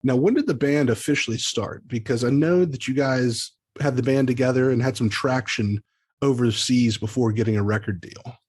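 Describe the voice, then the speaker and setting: A man's voice: mid-range voice, normal speed, a little mellow, breathy edge. A man hosting a music show, speaking in an upbeat, promotional way as he introduces and interviews guests. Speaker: podcast host and interviewer. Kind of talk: enthusiastic scripted show intro and casual interview chat.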